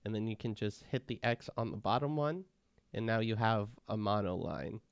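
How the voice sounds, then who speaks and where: Clear, low-voiced man giving a tutorial. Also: normal speed, no room sound. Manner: plain, instructional. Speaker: tutorial narrator. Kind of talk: casual step-by-step software tutorial.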